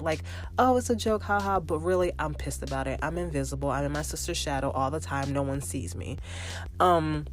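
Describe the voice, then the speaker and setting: A young woman with a low voice hosts her own podcast, talking in a chatty, animated way full of quoted asides. She sounds slightly congested, and faint music sits behind her. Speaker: podcast host. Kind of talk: casual solo podcast recap.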